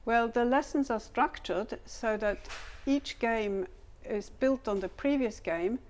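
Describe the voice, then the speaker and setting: A woman in her fifties, a native German speaker from Switzerland with a German accent, explaining how she developed teaching materials in a measured way. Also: on the high side. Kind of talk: scripted interview answers.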